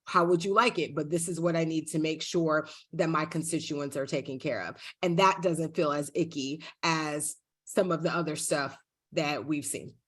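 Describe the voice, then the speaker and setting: A woman's voice: low voice, fairly even intonation, moderate pace, fairly bright tone. A woman state representative answering a panel question on a video call, candid and emphatic in a conversational way.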